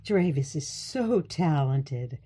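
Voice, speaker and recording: A woman's voice: low voice, animated, takes it slowly, mellow, husky, heavily acted. A woman playing a sweet, doting elderly grandmother in a radio play, with a gushing, soothing manner.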